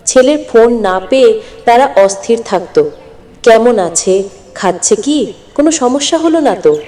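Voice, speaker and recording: A woman's voice: fairly high pitch, lively intonation, measured pace, warm tone. A woman narrating a scripted moral story in an earnest, dramatic storytelling manner. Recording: long hall-like reverb.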